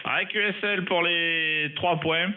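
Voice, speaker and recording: A man chatting casually as an amateur radio operator, reading phonetic call signs in a halting, technical way. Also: a little high, fairly even intonation. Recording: muffled narrow-band amateur radio audio.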